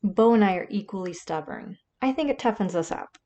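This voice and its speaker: A woman's voice: mid-range voice, animated, fairly quick, warm tone, dull audio, lightly acted. An actress playing a stubborn, blunt middle-aged wife and mother in an audio drama. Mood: prickly, firm.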